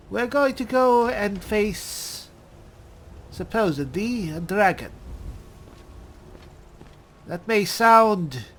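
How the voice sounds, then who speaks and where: A man voicing a wry high elf spellsword and reluctant leader in a video game roleplay, with dry, halting, deliberate delivery. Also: fairly bright tone, slight background noise, heavily acted.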